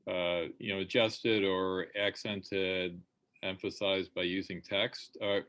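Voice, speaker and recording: A man's voice: sits low, level tone, slow pace, a bit bright. A man in the audience of an online talk, asking a question in a hesitant, conversational way over a video call.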